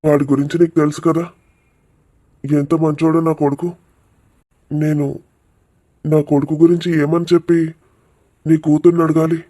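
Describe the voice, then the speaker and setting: Man acting as middle-aged cartoon father, very muted warm tone, even intonation, firm, reassuring. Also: a little high, normal speed, long pauses, lightly acted. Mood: earnest, reassuring. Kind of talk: cartoon village drama dialogue.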